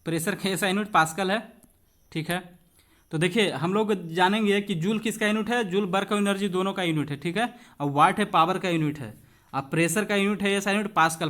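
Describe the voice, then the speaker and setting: A man's voice: high voice, a bit bright. A man teaching an exam-prep physics lesson, explaining conversationally with rhetorical questions to students and mixing in English terms. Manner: switches between Hindi and English terms.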